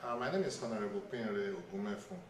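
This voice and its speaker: A man's voice: fairly low pitch, fast talker, recorded from a distance. A middle-aged man from Nigeria, an attendee speaking formally and at length about his hopes for the country. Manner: formal, wordy, many "uh" fillers. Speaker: event attendee being interviewed. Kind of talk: answering interview questions. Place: recreation center, crowd nearby. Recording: very echoey hall, voice from off-mic, crowd behind.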